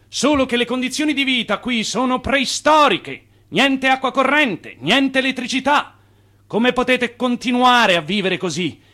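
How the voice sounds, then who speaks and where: A man's voice: very high-pitched, sharp tone, exaggerated voice. An actor playing an ardent, charming immigrant man with impulsive ideals in a radio play. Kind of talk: radio play acting, shifting between narration and oratory.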